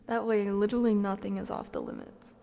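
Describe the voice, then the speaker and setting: A woman live streamer with a soft, gentle manner, drifting between casual ASMR chat and soft singing. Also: mid-range voice, flat delivery, fairly quick, vocal fry.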